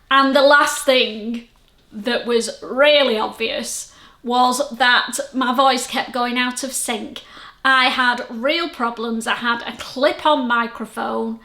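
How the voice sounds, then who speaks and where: A woman's voice: fairly high pitch, fairly bright tone. A middle-aged woman with a Lancashire accent, chatting to camera in a friendly, rambling, self-deprecating way about her sewing vlog. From Lancashire, England. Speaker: sewing vlogger. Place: at home. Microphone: camera-mounted microphone. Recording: echoey room, camera mic.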